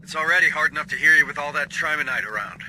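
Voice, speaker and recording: A tough space marine sergeant giving orders in a sci-fi game. The voice is fairly high and very forceful, clipped and no-nonsense. A faint ambience runs underneath. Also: male voice, racing, slight background noise, poor audio. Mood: firm, commanding. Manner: commanding, clipped. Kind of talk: scripted video game dialogue.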